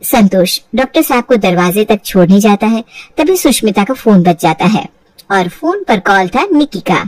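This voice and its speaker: A woman delivers scripted story narration. Her telling is expressive and dramatic, and the recording is very dry, without any room echo. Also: mid-range voice, moderate pace, distant mic.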